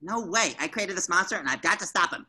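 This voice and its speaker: A woman voicing an excitable, naive young male cartoon sea sponge in an eager, theatrical way at a table read. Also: on the low side, fast talker, husky, heavily acted, poor audio. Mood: alarmed, determined.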